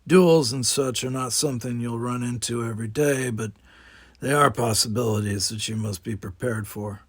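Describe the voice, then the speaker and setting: A man voicing a confident, burly older male combat instructor, lecturing in a measured, authoritative way. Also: medium pitch, slightly rough, no room sound, natural voice. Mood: instructive, authoritative.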